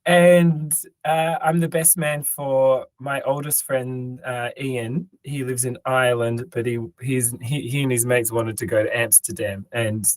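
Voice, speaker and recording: Hoarse man, worn-out voice. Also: medium pitch, fairly even intonation, a little slow, hardly any pauses, no echo. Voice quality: tired, raspy. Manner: rambling, joking, lots of ums and restarts. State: hoarse, lost voice. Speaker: podcast co-host. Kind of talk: casual chat.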